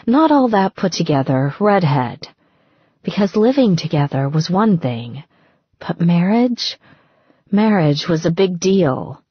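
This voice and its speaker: A woman reading romance fiction as an audiobook narrator, with expressive, dramatic phrasing. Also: lowish voice, slightly rough, dry recording, lo-fi sound.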